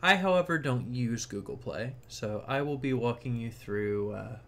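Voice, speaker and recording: A man's voice: mid-range voice, lively intonation, moderate pace, fairly bright tone. A man narrating a casual tech tutorial, explaining things informally step by step.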